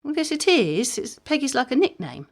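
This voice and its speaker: Actress playing a lively, wry, mischievous mum. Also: female voice, sits high, normal speed, heavily acted. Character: chatty, wry mother with a mischievous streak. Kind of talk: audio drama comedy dialogue.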